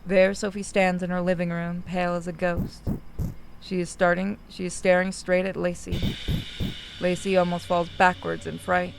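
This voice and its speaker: A woman's voice: on the low side, flat delivery, moderate pace, creaky, music in the background, rough recording. A woman reading a horror visual novel aloud as a scripted narration, with casual asides.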